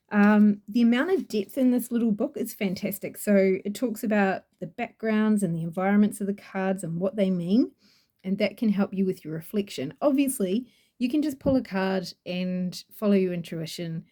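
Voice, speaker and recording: A woman's voice: mid-range voice, moderate pace, mellow. A woman with a New Zealand accent reviewing an oracle deck in a chatty, gushing way, rambling with fillers. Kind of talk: casual product review.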